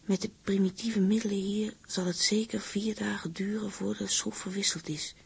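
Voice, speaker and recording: Hushed, husky seaman's letter monologue. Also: sits high, flat delivery, moderate pace, full character voice. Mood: intimate, reflective. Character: sincere seaman reading out his letter. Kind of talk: intimate letter monologue in radio drama.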